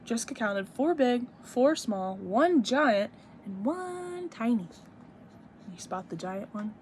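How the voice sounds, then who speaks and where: A woman's voice: on the high side, lively intonation, takes it slowly, a little mellow, slight background noise. A woman in her twenties reading a picture book aloud expressively for young children, with teacherly emphasis and asides. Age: 20s.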